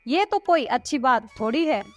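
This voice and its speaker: A woman's voice: high-pitched, animated, normal speed, faint music behind, pitched above the performer's own voice. A woman voicing a worried, nagging wife in a moral story, scolding and insistent. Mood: nagging, reproachful. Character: worried, nagging wife doubting her husband's partner.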